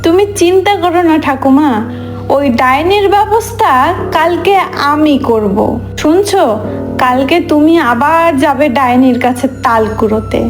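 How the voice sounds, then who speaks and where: A woman voicing a clever, bossy young wife in a cartoon, brisk and commanding. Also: high voice, faint music behind. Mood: scheming. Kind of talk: cartoon voice acting.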